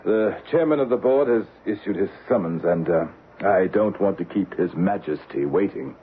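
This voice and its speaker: A man's voice: deep voice, moderate pace, close to an everyday voice. An actor playing a troubled middle-aged man of standing in an old radio drama, with a grave theatrical delivery. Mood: uneasy. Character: troubled middle-aged executive haunted by a noble past life. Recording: muffled, faintly noisy old radio recording.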